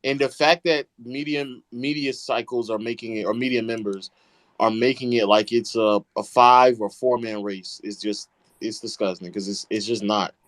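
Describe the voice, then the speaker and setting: A man's voice: lowish voice, fairly even intonation, moderate pace, fairly bright tone, no echo. A man in a casual discussion, talking emphatically, swearing and restarting his sentences often. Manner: emphatic, profane, frequent restarts.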